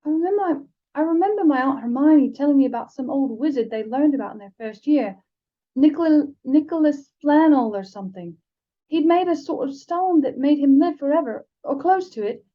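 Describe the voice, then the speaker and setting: An earnest boy wizard acted by a woman explains things in a high, thoughtful voice. He is slightly hesitant, in children's storybook style, and the recording is a little dull. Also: lively intonation, normal speed.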